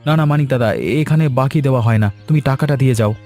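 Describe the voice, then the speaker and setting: Mellow, earnest young village man played by an actor. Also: medium pitch, quick pace, faint music behind, natural voice. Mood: firm. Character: earnest, plucky young village eatery worker, son. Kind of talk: animated folk tale voice acting.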